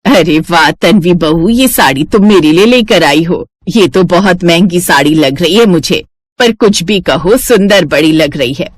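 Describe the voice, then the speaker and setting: A woman's voice: fairly low pitch, a little slow, dry recording. A woman voicing an older mother-in-law in a family story, doting and indulgent with a fawning manner.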